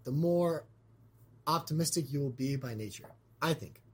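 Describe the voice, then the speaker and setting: A man hosting a podcast in casual chat, his voice scratchy and a bit hoarse from being sick, talking in a rambling way with many fillers and restarts. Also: mid-range voice, moderate pace. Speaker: podcast host.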